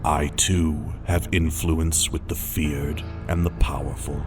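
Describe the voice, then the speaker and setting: Guy doing a cold superhuman warlord, very low voice, controlled and threatening, music under it. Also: flat delivery, far from the mic. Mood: coolly mocking. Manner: cold, deliberate, ominously intimate. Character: menacing, composed male superhuman warrior commander. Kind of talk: dramatic audiobook character voice.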